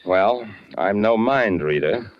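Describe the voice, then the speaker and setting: An actor playing a wry, matter-of-fact amateur investigator in a 1940s radio suspense drama. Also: male voice, fairly low pitch, expressive, slow, no room sound. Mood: guarded, wry. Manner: dry, wisecracking, matter-of-fact. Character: curious, wry, unadventurous man turned amateur investigator. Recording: dull 1940s radio recording with music.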